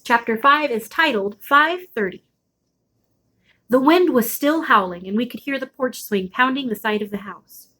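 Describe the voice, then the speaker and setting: Female storybook reader, highish voice, animated intonation, expressive delivery, dramatic pauses. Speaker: storybook read-aloud host. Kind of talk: expressive read-aloud of a children's chapter book.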